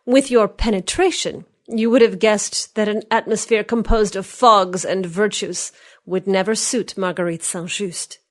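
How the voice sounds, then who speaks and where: A man's voice: high voice, fairly even intonation, measured pace, pitched above the performer's own voice. A man reading an audiobook, voicing a witty, bored young noblewoman who speaks merrily and archly. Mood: arch, teasing. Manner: merry, arch, teasing.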